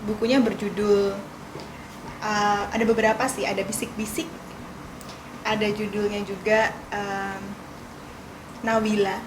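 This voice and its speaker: A woman's voice: medium pitch, level tone, a little slow, long pauses. Woman from yogya, casual answers w ums, noisy bg. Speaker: interviewee.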